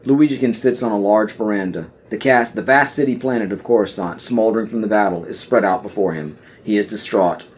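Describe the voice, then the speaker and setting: A man's voice: fairly low pitch, a little fast, hardly any pauses, slight background noise. A man reading scripted scene directions as the narrator of an audio play.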